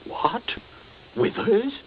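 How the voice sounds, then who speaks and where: Bluff neighbourly British military man, clipped and animated; old radio play, muffled with faint noise. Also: very slow. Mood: startled. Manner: clipped, blustering, repeats himself. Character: bluff, hearty British captain, jealous neighbourly suitor. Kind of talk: old-time radio drama acting. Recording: dull, noisy vintage radio recording.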